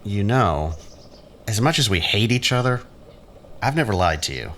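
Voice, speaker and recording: A man's voice: on the low side, animated, normal speed, fairly bright tone, slight background noise. An actor playing a needy, lovelorn, sardonic man in an audio drama, with a dramatic, wheedling manner.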